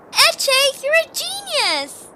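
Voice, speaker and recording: A woman as a very high-voiced plucky young adventurer. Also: sing-song, drawn-out words, pushed and bright, slight background noise, heavily acted. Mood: excited. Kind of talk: fan audio drama acting. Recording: music clearly behind.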